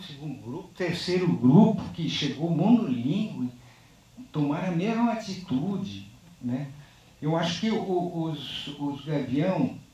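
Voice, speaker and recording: A man speaking unscripted on a panel after a film screening. He talks in a halting, reflective way and ends most phrases with a tag question. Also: a little high, darkish tone. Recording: very echoey, distant microphone.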